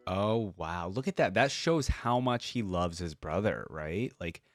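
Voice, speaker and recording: Low-voiced young man reacting casually. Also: animated, unhurried, faint music behind. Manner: informal, musing aloud. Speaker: reaction video host.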